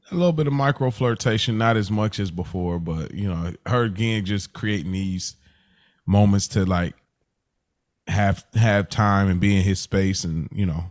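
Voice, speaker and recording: Podcast host, American man, lowish voice, dry close sound, colloquial and thinking out loud. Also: no echo. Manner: colloquial, thinking aloud, checking in with listeners. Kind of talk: casual TV show discussion.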